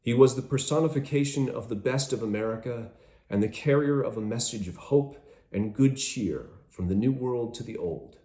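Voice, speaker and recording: Mellow man narrating solemnly in echoey room. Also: medium pitch, moderate pace.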